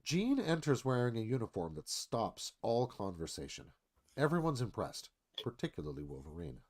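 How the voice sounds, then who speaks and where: A man's voice: mid-range voice, huge pitch swings, moderate pace, a little mellow. A man narrating stage directions in a dramatic, storytelling screenplay read.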